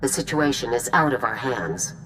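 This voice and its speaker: Low-voiced, formal general. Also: female voice, animated, a bit bright, slight background noise, poor audio. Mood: composed, businesslike. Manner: measured, formal briefing. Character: composed, formal female military general. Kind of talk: video game cutscene acting.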